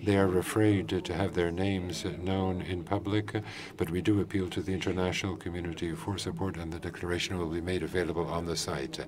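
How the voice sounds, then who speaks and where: A man from Ukraine speaking as an NGO coordinator, delivering a formal statement with many halting pauses and fillers. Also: low voice, level tone, crowd noise. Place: large conference hall.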